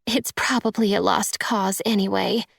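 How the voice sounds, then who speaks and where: A woman's voice: medium pitch, fairly even intonation, normal speed, creaky, breathy edge, no echo, clean studio sound, pitched above the performer's own voice. A woman voicing a tearful, vain young trophy wife in an audiobook, with a whiny, sniffly delivery. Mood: sulky, defeated.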